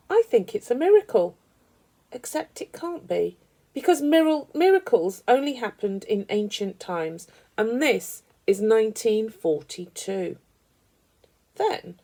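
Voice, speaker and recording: A woman reading a children's story aloud with animated, dramatic emphasis. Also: medium pitch, poor audio. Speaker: storybook reader.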